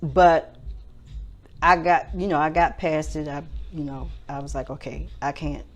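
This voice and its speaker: A woman's voice: low voice, steady tone, a little slow. A woman in her fifties hosting a casual interview before a studio audience, often murmuring agreement. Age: 50s. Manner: affirming, frequent backchannel responses. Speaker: host.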